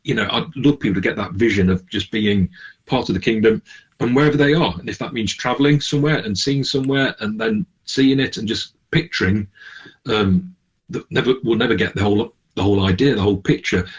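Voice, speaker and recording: A man's voice: fairly low pitch, a little fast, slightly rough, lo-fi sound. A man answering as a radio interview guest, hesitant and often restarting as he searches for words. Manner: hesitant, searching for words with repeated false starts. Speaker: charity manager, interview guest.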